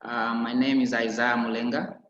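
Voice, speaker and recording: A man from Zambia with a Zambian accent, speaking haltingly and informally as a webinar panellist. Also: medium pitch, flat delivery, fairly bright tone, slight background noise. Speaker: energy consultant, webinar panellist. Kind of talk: informal self-introduction on webinar. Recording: echoey, muffled web call.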